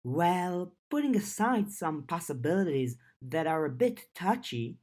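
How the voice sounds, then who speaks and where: Woman reading as a blunt, unhurried teenage girl. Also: fairly low pitch, very animated, a little mellow. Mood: hesitant. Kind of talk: audiobook character dialogue.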